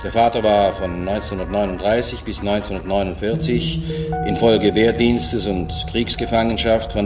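An actor playing a stern judge in a radio play, formally reading out a criminal verdict. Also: male voice, sits low, distant mic, lightly acted. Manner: formal, official, measured. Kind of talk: formal court verdict read aloud.

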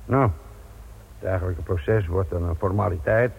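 Gruff middle aged cop in a radio play, sardonic n blunt, husky voice, tinny phone-like sound. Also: male voice, low voice, normal speed, exaggerated voice. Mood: firm. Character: dogged, sardonic middle-aged male police inspector, embittered by work. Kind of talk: Dutch radio drama acting. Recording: tinny narrow-band radio drama recording.

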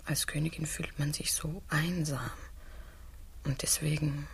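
An actress playing a lonely, petulant queen confessing coyly in a satirical radio play, in a hushed, breathy murmur. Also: low voice, flat delivery, measured pace, full character voice. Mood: petulant, plaintive.

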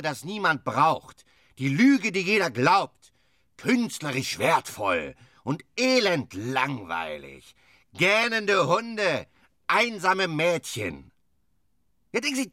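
An actor playing a disgusted, scornful cynic in an absurdist radio drama, declaiming with biting, deliberate emphasis. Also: male voice, high-pitched, sharp tone, no echo, studio quality, exaggerated voice. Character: disgusted, scornful cynic railing against the theatre.

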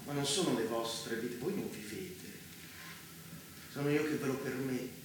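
An actor playing a fanatical, domineering mastermind in a dystopian stage drama, theatrical, moving between taunting softness and shouting. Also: male voice, mid-range voice, moderate pace, close to an everyday voice. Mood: soft, condescending. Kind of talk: intense Italian stage drama acting. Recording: roomy, distant stage recording, faint noise.

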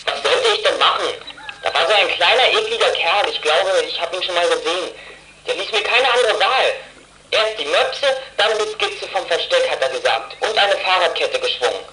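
A man's voice: high-pitched, expressive, normal speed, pushed and bright, a little hiss or hum, rough recording. A young male actor playing a brash, agitated teenage boy on a walkie-talkie in a 1980s radio play. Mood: agitated, defensive. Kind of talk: 1980s German youth radio play acting.

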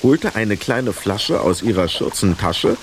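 A man's voice: on the low side, animated, unhurried, a little hiss or hum. A man narrating a scripted children's story as the narrator of a radio play.

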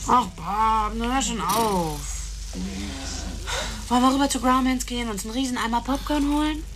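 A brash, short-fused streetwalker turned killer, acted by a woman in a radio drama. Her voice sits fairly high and she taunts and bosses in coarse slang, with clearly noisy ambience behind her. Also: normal speed, close to an everyday voice. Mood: coaxing, amused. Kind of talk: German radio drama acting.

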